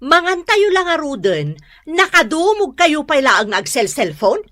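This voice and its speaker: A woman's voice: high voice, sing-song, measured pace, fairly bright tone, no room sound, studio quality, heavily acted. A woman acting a strict, nagging mother in an Ilocano radio drama, scolding with emphasis. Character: strict nagging mother laying down household phone rules.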